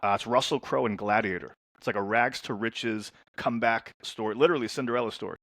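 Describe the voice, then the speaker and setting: Lebanese-born guy coaching men, talks fast and excited, repeats himself starting out, dry close sound. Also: fairly low pitch, no room sound. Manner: energetic, storytelling, repeats words when starting sentences. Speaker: men's transformation coach, guest. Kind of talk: casual interview talk.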